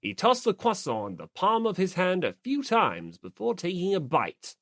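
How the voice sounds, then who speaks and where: Animated woman narrating an audiobook. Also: lowish voice, moderate pace, dry recording, clean studio sound. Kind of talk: scripted storytelling narration.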